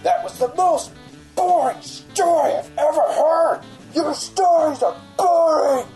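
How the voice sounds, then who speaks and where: A woman's voice: high-pitched, animated, slow pace, mellow, faint music behind, exaggerated voice, low-quality recording. A woman playing a rude, whiny, scornful heckler in a radio comedy. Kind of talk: comedic radio-play interjections.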